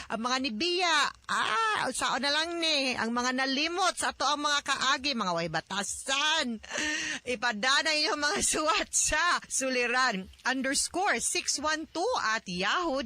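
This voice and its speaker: Cebuano woman, radio advice host, chatty, bright forceful high voice, playful emphasis. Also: dry recording. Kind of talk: conversational radio advice show.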